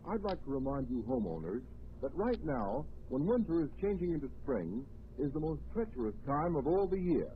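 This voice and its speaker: A man announcing a 1930s radio show and reading its sponsor ad in a brisk, persuasive way. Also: fairly high pitch, a little hiss or hum. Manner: brisk, persuasive sales delivery. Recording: tinny old radio broadcast.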